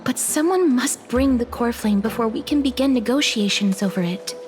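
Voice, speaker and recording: A woman voices an earnest young maiden from a video game, speaking softly but firmly. Her voice sits a little high, and clear music plays behind her. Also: moderate pace, lightly acted. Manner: soft, earnest and resolute.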